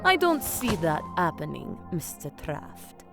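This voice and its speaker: A haughty female crime boss in an audio drama. She is silkily menacing and condescending, with expressive, swooping pitch. Music plays clearly behind her. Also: measured pace, full character voice. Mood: cold condescension. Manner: theatrical, condescending, mock-polite.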